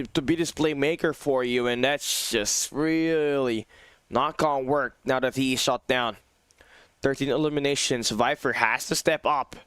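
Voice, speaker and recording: A man giving live play-by-play commentary on an esports match, his voice tired and hoarse, excitable and rising to shouts at big plays. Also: mid-range voice, no room sound. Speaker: esports caster. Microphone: phone on a low battery.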